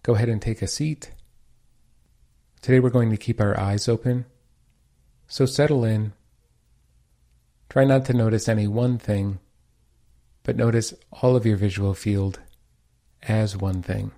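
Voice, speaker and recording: A man leading a guided meditation as teacher, speaking in a calm, soothing, unhurried manner. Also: on the low side.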